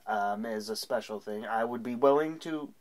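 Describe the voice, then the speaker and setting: A man's voice: mid-range voice, moderate pace. Young male co-host, stuffy with a cold, casual and chatty, home webcam segment. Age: young adult. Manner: chatty, with frequent uh fillers. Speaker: sports podcast co-host. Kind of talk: casual solo segment to camera. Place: small room at home.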